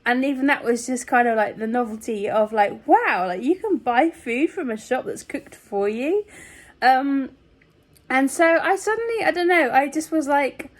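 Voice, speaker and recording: High-voiced woman chatting in a video diary. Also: lively intonation, moderate pace. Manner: chatty, meandering, often giggly. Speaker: vlogger. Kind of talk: casual rambling video diary chat.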